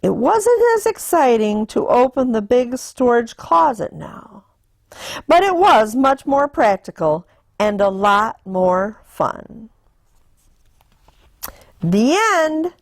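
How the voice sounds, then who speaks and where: A woman reading a children's picture book aloud in an animated, deliberate storytelling manner. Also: on the high side, dry recording. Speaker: storytime reader.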